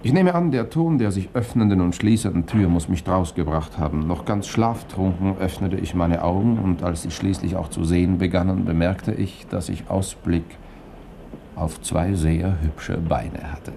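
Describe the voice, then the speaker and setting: Wry journalist sleuth, low creaky voice, dry irony, old radio crime serial. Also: male voice, moderate pace, dark tone. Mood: wry narrating. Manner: ironic, dryly humorous. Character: wry journalist, flippant amateur sleuth narrating his adventure. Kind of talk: 1960s German radio crime drama, narration and dialogue. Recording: noisy old 1960s radio recording.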